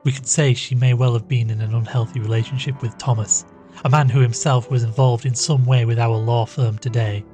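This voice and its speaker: An actor playing an earnest young male investigator in an audio drama, narrating and questioning in a measured, deliberate, inquisitive way. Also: mid-range voice, steady tone, dark tone, soft background music, natural voice. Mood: grave, pondering.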